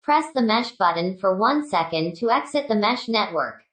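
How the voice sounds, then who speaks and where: A woman's voice: mid-range voice, expressive, moderate pace, a bit bright, reverberant, low-quality recording. A woman giving scripted product tutorial narration in clipped, step-by-step instructions.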